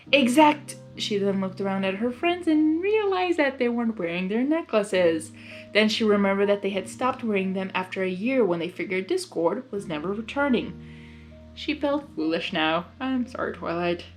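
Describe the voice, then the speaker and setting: A woman's voice: mid-range voice, expressive, moderate pace, soft background music. A young woman reading a story aloud expressively and breaking in with gushing, emotional reactions. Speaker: reader of fan fiction.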